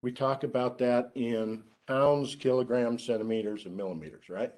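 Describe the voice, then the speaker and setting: Self-described monotone male lecturer. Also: medium pitch, normal speed. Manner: calls himself monotone, dry joking asides. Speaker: research scientist giving a seminar. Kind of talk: lecture to students, interactive. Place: lecture room.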